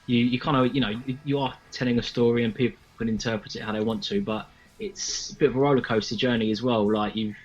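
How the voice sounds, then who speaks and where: A man's voice: medium pitch, steady tone, fast, few pauses, breathy edge. A man chatting casually and in a rambling, earnest way as an interviewed band member. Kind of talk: casual chat. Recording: dull lo-fi call audio.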